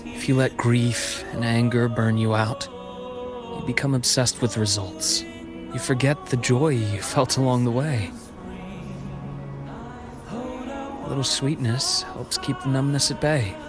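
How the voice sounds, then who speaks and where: An actor playing a gentle, melancholic man in a video game cutscene, speaking in a reflective, measured way. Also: medium pitch, animated. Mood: gently earnest. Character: composed adult man, gentle, melancholic former company heir. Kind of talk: dramatic game cutscene dialogue. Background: background music.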